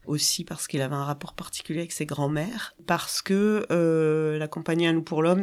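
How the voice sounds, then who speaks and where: Thoughtful woman explaining her circus work. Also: sits low. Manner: thoughtful, searching for words, many fillers. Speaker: dramaturge of a circus company. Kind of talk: interview explanation.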